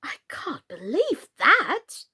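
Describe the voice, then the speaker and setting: A woman's voice: sits high, wildly swooping pitch, slow pace, pushed and bright, pitched above the performer's own voice, heavily acted. A woman voicing an earnest, curious seven-year-old girl in a storybook, with a polite, questioning manner. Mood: earnest, doubtful.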